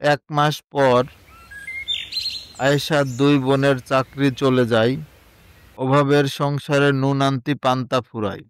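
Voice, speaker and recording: A man's voice: mid-range voice, flat delivery, a little slow, pauses often, slight background noise. A man narrating a scripted moral story.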